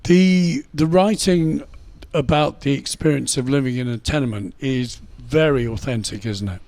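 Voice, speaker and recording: A man with a creaky, gravelly voice at a middle pitch makes casual remarks as a radio presenter. He sounds conversational, in a studio interview setting. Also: moderate pace, a little mellow, dry recording.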